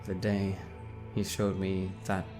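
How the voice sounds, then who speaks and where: A man with a low voice reads a first-person backstory in an even, wry manner. He lets phrases trail off softly rather than pushing them. Soft music sits quietly behind the reading. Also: slow pace. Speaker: player reading character backstory. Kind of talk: dramatic reading in character.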